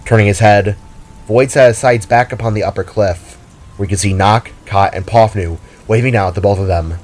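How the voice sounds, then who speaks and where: A man's voice: on the low side, a little fast, darkish tone. A man reading scripted, dramatic story narration. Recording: music and rain under the voice. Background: music, rain and thunder.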